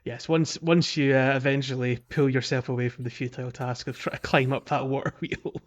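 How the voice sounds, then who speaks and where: A man co-hosting a podcast, chatting casually about a video game in a halting way, often restarting his sentences. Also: mid-range voice, steady tone. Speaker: podcast co-host.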